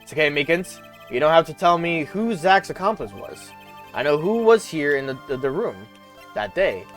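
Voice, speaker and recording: A streamer voicing a confident male investigator who questions a witness in a lower, measured voice while reading a game aloud. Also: animated, soft background music, lightly acted. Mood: probing. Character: confident male detective-lawyer, calm and probing.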